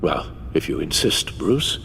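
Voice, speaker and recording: A man's voice: a bass voice, big pitch swings, unhurried, vocal fry, a bit bright, slight background noise, exaggerated voice. A man playing an elderly, dutiful British butler in a video game, speaking formally and carefully with fatherly gravity. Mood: dutiful resolve. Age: older. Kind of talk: dramatic video game acting.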